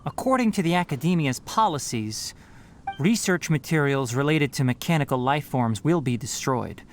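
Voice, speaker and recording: Composed young scholar, measured and articulate. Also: male voice, on the high side, expressive, over music. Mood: sober, matter-of-fact. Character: young male forest-ranger scholar, composed, pragmatic, gently authoritative. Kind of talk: video game voice acting.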